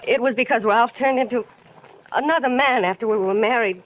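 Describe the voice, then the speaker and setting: A woman's voice: fairly high pitch, fairly quick, lightly acted. Defensive cornered wife, lady acting, urgent and pleading, old radio play with noisy sound. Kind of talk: 1950s radio drama acting. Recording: noisy vintage radio recording.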